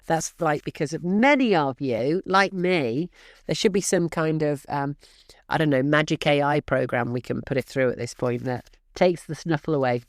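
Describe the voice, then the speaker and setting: A middle-aged woman hosting a real estate podcast, talking straight to listeners in a brisk, emphatic coaching manner, her voice stuffy and snuffly from allergies. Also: deep voice, no echo, clean studio sound. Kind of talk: direct-to-listener podcast advice.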